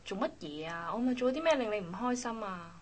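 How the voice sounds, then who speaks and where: A woman's voice: a little high, expressive, fast. A woman acting a frank, teasing young friend and coworker in a Cantonese radio drama, with a Hong Kong accent. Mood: gentle, puzzled.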